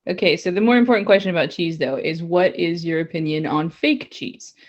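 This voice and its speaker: A woman's voice: lowish voice, a little fast, dull audio. A woman co-hosting a casual podcast, chatty and rambling with frequent laughs. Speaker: podcast co-host. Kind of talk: casual banter.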